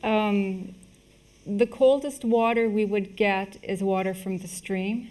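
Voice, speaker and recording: A woman giving a public health lesson in short, plain segments, pausing for an interpreter. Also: medium pitch, steady tone, a little mellow. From Canada. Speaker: health lecturer, medical missionary.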